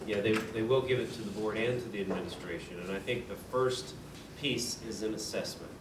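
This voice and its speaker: A man chairing a school board meeting, explaining procedure in a measured way with frequent fillers. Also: on the low side, steady tone. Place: meeting room. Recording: distant mic, echoey room, noisy.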